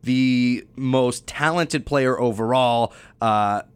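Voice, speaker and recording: A man's voice: medium pitch, slow pace, clear, resonant tone, dry recording. A man talking football in a casual debate, rambling and often restarting his sentences. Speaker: sports podcast co-host.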